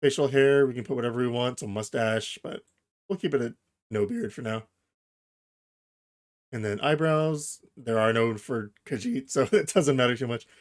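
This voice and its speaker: A man hosting a software tutorial, explaining each step informally and thinking aloud as he goes. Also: medium pitch, normal speed. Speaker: tutorial host. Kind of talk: casual software tutorial narration.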